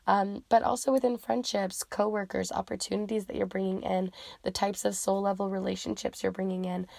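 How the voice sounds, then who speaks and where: A woman reading tarot cards for viewers, chatty and rambling with many fillers, her voice croaky and fading from a cold. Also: mid-range voice, level tone, fairly quick, darkish tone, dry recording, studio quality. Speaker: tarot reader. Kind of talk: pick-a-card reading intro.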